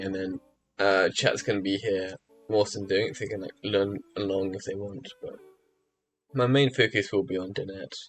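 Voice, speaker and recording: A man chatting casually on a live stream, rambling with lots of fillers and restarts, his voice a little rough as if from early mornings. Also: fairly low pitch. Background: faint music.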